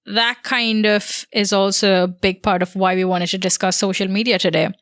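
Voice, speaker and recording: A woman's voice: mid-range voice, steady tone, moderate pace, a bit bright, no room sound, clean studio sound. Bubbly woman with a giggly, chatty manner. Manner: upbeat, giggles often. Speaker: podcast co-host. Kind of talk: casual chat.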